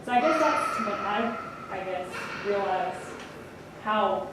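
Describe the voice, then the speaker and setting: A woman's voice: mid-range voice, expressive, takes it slowly. A woman on a panel speaking hesitantly and searching for her words. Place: large echoey lecture room. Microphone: far room microphone. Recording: echoey room, distant mic, loud background noise.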